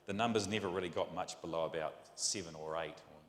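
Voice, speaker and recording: Chatty New Zealand preacher, lowish voice. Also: male voice, quick pace, reverberant, in a large hall. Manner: chatty, rambling, asks rhetorical questions. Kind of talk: conversational sermon. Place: church.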